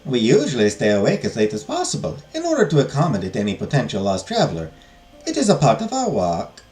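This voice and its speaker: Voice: mid-range voice, wildly swooping pitch, normal speed, darkish tone, recorded from a distance, voice pushed up. A performer voicing a kindly, easily shocked older nun who exclaims and draws out her words in a storybook reading. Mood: reassuring.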